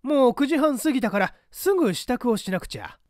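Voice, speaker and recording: A pompous older bureau chief, acted out by a male reader, brisk and blustering in an old-fashioned gentlemanly way. The pitch is lifted and swings widely, in a dry storybook recording. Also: big pitch swings, no echo. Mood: brisk, impatient.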